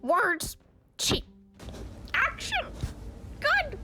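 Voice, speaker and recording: Squeaky, excitable little creature. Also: female voice, extremely slow, faint music behind, exaggerated voice. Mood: excited. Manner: speaks in blunt single-word bursts.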